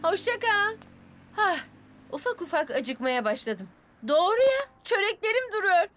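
Very high-voiced young woman cartoon mechanic. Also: measured pace, a little hiss or hum, heavily acted. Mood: busy, friendly. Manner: expressive, exclamatory. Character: young woman mechanic, caring, scolding, busy. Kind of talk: children's cartoon dubbing. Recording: music behind the voice.

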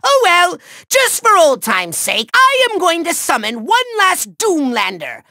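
Tiny evil overlord from a cartoony game, super high raspy forceful voice, hammy and gloating, bone dry studio sound. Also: male voice, wildly swooping pitch, dry recording, exaggerated voice. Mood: sneering, grandiose. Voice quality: shrill, gravelly, nasal. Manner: bombastic, gloating, sneering delivery with drawn-out words. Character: small megalomaniac male cartoon villain, petulant and theatrical. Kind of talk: hammy video game villain acting.

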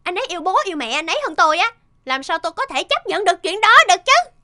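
A woman's voice: extremely high pitch, very animated, racing, bright, forceful tone, full character voice. A woman playing a distraught, melodramatic wife in a comic cartoon, whining and exaggerating. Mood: aggrieved. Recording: children's music behind the voice.

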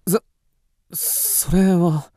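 An actor playing an earnest, lovestruck young widower of old Japan in a radio drama, speaking politely and formally. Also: male voice, high-pitched, level tone, extremely slow, mellow, no room sound, studio quality. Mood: shaken. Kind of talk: radio drama acting of a ghost tale.

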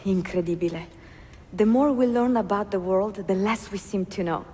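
A woman's voice: medium pitch, moderate pace, mellow, slight background noise, lightly acted. A woman playing an educated, poised young Italian bookseller in a video game, articulate, with an Italian accent and a reverberant sound. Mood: eager, curious. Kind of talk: game cutscene acting.

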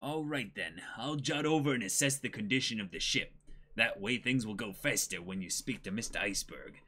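A man as a low, courteous young shipwright. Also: fairly quick, bright, forceful tone. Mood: polite, businesslike. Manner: formal, matter-of-fact. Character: courteous young shipwright with old-fashioned speech.

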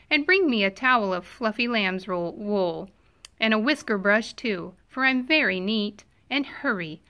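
A fussy male raccoon from a storybook, read by a woman, makes haughty demands in a bright voice. He is bossy and particular about everything. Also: on the high side.

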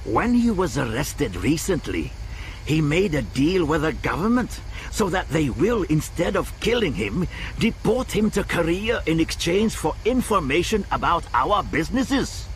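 An actor playing a smooth, calculating male crime boss in a video game, formal and deliberate. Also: a little high, sing-song, slight background noise. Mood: sly, measured. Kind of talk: video game cutscene acting.

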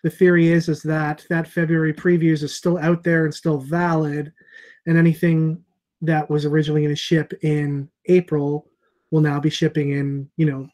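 A middle-aged man hosting a casual video call chat as a comic shop owner, thinking aloud with many pauses and fillers. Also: on the high side, little pitch movement, darkish tone. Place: small room with shelves of comics behind. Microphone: earbud headset on a video call.

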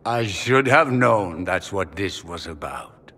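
An actor playing a middle-aged noble father in a video game, grave, formal and regretful, with a husky voice. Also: deep voice, animated, slow, sharp tone, heavily acted. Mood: pleading regret. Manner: formal, restrained. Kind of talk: dramatic video game acting. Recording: long hall reverb.

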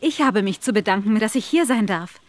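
A woman's voice: medium pitch, expressive, a little fast, natural voice. A woman playing a polite, modest young governess with a reserved manner in a German radio drama. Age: young adult.

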